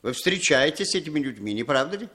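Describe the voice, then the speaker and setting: An actor playing a smooth, condescending Western intelligence handler in a 1960s Soviet radio play, wheedling and prodding as he talks. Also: a little high, sing-song, fast, sharp tone. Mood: insistent, reproachful. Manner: ingratiating, insistent, tags questions with prodding appeals.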